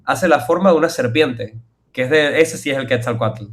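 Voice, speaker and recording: A man with a Venezuelan accent, co-hosting a podcast and telling stories with lively enthusiasm. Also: medium pitch, brisk, slight background noise. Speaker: podcast co-host. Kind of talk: casual chat.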